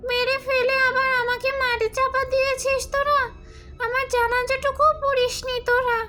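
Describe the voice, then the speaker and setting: A woman's voice: very high-pitched, flat delivery, moderate pace, sharp tone, faint music behind. A woman voicing a vengeful ghost witch in a horror cartoon, with a menacing, taunting delivery. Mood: bitter, vowing revenge. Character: vengeful female ghost witch haunting a mango tree. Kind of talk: exaggerated horror cartoon acting.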